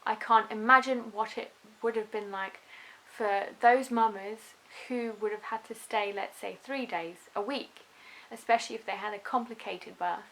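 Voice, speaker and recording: A young woman with a faint Russian accent, softened by years in London, chatting earnestly to camera about becoming a mother, with frequent ums and small restarts. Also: on the high side, steady tone, normal speed, poor audio. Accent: Russian, softened by a London upbringing. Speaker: vlogger, new mother. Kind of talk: casual talk to camera.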